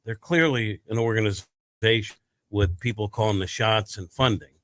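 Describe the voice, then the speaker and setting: A man's voice: on the low side, moderate pace, a bit bright, no echo. A middle-aged man co-hosting a talk show, explaining his opinions at length. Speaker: co-host. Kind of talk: casual sports talk chat.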